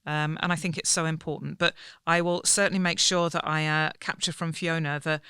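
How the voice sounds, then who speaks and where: A woman guest on a podcast, speaking sincerely and in measured terms, with hesitant fillers between points. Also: deep voice, level tone, sharp tone, smooth, no echo, studio quality. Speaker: podcast guest, senior academic. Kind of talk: conversational podcast discussion.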